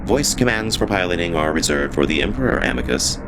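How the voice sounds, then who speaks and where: Man as a very low, detached ship computer. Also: sing-song, vocal fry, background noise, heavily acted. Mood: impassive. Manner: formal, detached, measured. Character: calm, impassive spaceship computer giving status reports. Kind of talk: dramatic visual novel character performance.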